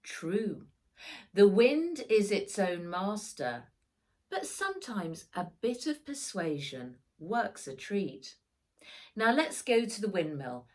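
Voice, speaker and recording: A woman's voice: medium pitch, big pitch swings, slow pace, darkish tone. Woman voicing a hearty old storybook grandpa. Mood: twinkling. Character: kindly old seafaring grandfather with twinkling good humour. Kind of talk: children's storybook read-aloud.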